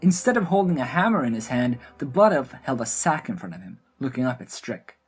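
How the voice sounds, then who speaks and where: Very low, husky woman narrator. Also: very animated, brisk, faint music behind. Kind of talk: scripted fantasy audio drama narration.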